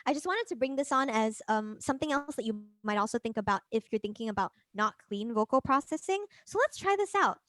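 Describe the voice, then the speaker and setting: High-voiced woman giving an informal demo. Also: lively intonation, a little fast, hardly any pauses, a bit bright, dry recording. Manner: chatty, thinking aloud. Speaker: presenter of a music production workshop. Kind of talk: informal software demonstration.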